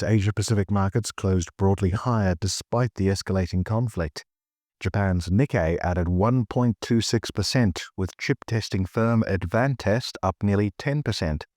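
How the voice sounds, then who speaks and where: A man hosting a financial news podcast, reading a script in a measured, polished newsreader delivery. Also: lowish voice, darkish tone, dry recording, clean studio sound.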